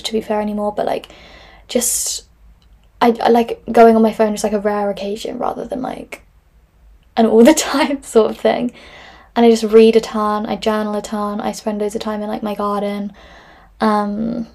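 This is a woman in her twenties talking through listener questions on her podcast. Her voice sits a touch high and carries a gravelly fry. She rambles, fills gaps with "like" and "um," and restarts her thoughts often. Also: a little mellow. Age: 20s. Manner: rambling, many fillers and restarts, laughs often. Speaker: podcast host. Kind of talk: casual solo chat answering listener questions.

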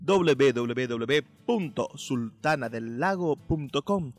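A man's voice: medium pitch, animated, slow pace. A man reading a scripted commercial voice-over. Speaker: advert announcer. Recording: voice-over with music bed.

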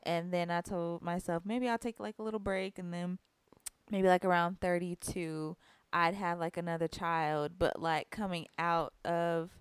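A woman's voice: on the low side, steady tone, measured pace, clear, resonant tone, no room sound. A woman in her twenties, co-hosting a podcast in a casual, rambling way full of "like" and "you know". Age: 20s. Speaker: podcast co-host. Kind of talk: casual chat.

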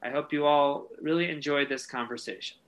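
A man's voice: on the high side, brisk, a bit bright. A man hosting an interview, welcoming a guest and asking friendly questions with many fillers. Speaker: podcast host.

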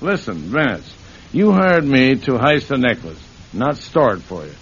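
A man's voice: medium pitch, sing-song, measured pace, husky, exaggerated voice. A man playing a cocky middle-aged American con man and thief in an old-time radio crime drama, slangy, brash and bossy. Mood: firm, bossy. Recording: muffled, hissy old radio broadcast.

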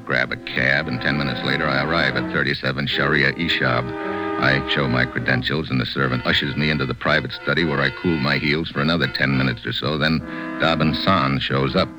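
A man's voice: very deep voice, fairly even intonation, brisk, poor audio. A man playing a hardboiled American investigator in a vintage radio noir, with a terse, wry, informal manner. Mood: narrating, tense. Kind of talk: 1950s radio noir acting, first-person narration. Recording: vintage radio broadcast, music behind.

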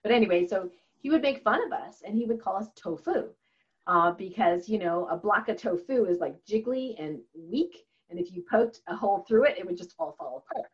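A woman's voice: mid-range voice, lively intonation, fairly quick, husky. Chatty woman, first language Chinese. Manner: earnest and chatty, laughs often, many fillers. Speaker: guest, holistic doctor and author. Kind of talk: conversational interview answer. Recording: slightly dull, lo-fi audio.

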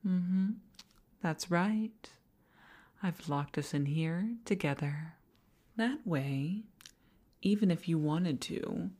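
A woman playing an obsessive older female boss in an intimate audio roleplay, coaxing with condescending sweetness and slipping into breathy half-whispers. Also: lowish voice, darkish tone. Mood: possessive, obsessive.

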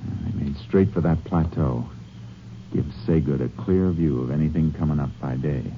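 Very deep stern Texas Ranger. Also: male voice, moderate pace, a little hiss or hum. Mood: calm, deliberate. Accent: American. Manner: terse, clipped, authoritative. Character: stern, shrewd male Texas Ranger, a seasoned tracker. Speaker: lead actor and narrator. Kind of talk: 1950s American radio drama acting. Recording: muffled early-1950s radio recording with music.

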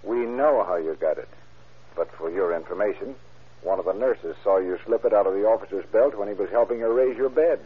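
An actor playing a shrewd, sardonic police sergeant who questions dryly in a 1940s style radio crime drama. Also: male voice, fairly low pitch, brisk, breathy edge. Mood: triumphant, stern. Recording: tinny, muffled old radio recording.

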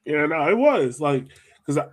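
A man's voice: fairly high pitch, big pitch swings, normal speed, slightly rough. A man hosting a casual sports podcast, talking in an informal, colloquial, emphatic way. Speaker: podcast host.

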